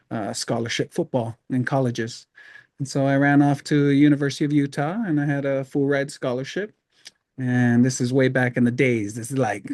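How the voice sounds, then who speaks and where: A middle-aged man from Hawaii, a podcast guest telling his life story in a relaxed, rambling way with many fillers. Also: medium pitch, dry recording, studio quality. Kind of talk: casual storytelling interview.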